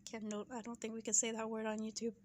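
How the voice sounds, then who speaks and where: A woman giving her casual opinions in a vlog, chatty and full of "right?" asides, clearing her throat and losing her voice. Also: fairly high pitch, completely flat delivery, fast talker, darkish tone, a little hiss or hum. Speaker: YouTube commentator.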